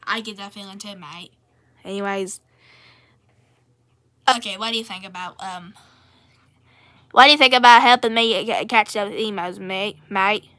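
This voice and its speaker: Woman putting on a brash, bright-voiced Aussie bloke. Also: medium pitch, normal speed, pauses often, lightly acted. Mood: matey. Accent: Australian, put on. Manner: brash, matey, repetitive slangy phrasing. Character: Australia as a cheerful, knife-happy Aussie bloke. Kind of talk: improvised comedy character skit.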